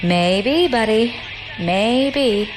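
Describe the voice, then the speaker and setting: A woman's voice: fairly high pitch, animated, very slow. Casting: young female space pirate, brash but easygoing, slangy and determined, audio drama with music underneath. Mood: wistful, casual. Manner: slangy, casual buddy talk.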